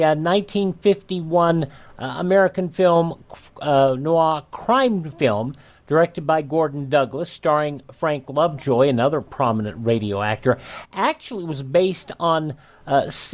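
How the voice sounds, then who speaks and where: A man hosting a radio show, giving informal background commentary in a halting, chatty way. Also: a little high.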